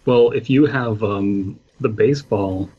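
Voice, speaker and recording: A man's voice: on the low side, measured pace, husky. A man co-hosting a podcast, chatting casually and reading aloud on a dull, lo-fi microphone. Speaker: podcast co-host and narrator.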